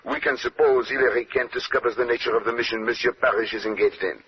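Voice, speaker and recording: An actor playing a brilliant, self-assured Belgian detective with a French-speaking accent, speaking precisely and courteously in old-time radio drama style. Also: male voice, mid-range voice, fairly even intonation, quick pace, slightly rough. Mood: confident, explanatory. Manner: precise, lecturing, punctuates points with questioning "eh?". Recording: dull, noisy old radio recording.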